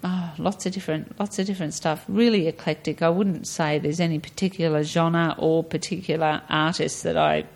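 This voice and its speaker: A woman's voice: low voice, fairly even intonation, normal speed, gravelly, hardly any pauses, a little mellow. A middle-aged woman with an Australian accent, chatting casually and explaining things as a podcast guest. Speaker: podcast guest discussing health and personal tastes. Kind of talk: casual chat.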